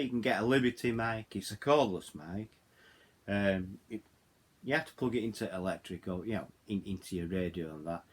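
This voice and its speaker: A middle-aged or older man with a Yorkshire accent gives a casual, unscripted product review, haltingly, often repeating words and phrases. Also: fairly low pitch, a bit bright, a little hiss or hum. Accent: Yorkshire English. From Yorkshire, England. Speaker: hobbyist video host reviewing radios.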